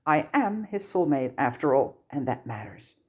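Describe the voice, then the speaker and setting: A woman's voice: rumbling low, very animated, moderate pace, a little mellow, slightly rough, heavily acted. A woman voicing a proud, bookish teenage girl with a superior, insistent manner in a story reading.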